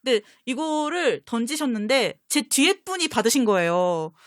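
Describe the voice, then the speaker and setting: Higher-voiced woman telling anecdotes casually. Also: animated, normal speed, dry recording, studio quality. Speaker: guest on a fan talk show.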